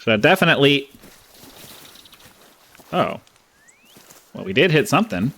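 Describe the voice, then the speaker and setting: A man's voice: medium pitch, big pitch swings, a little slow, gravelly, bright, forceful tone. Man casually commentating on a hunting game. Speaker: gaming video host. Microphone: close microphone.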